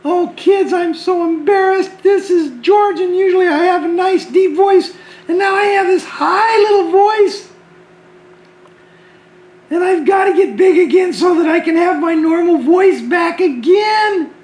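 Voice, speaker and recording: A man voicing a shrunken, embarrassed little creature with a high, squeaky voice, talking fast and nearly shouting to children. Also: roomy sound, low-quality recording. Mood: embarrassed pleading. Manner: excited, fast, near-shouting. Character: shrunken tiny male creature, embarrassed and pleading.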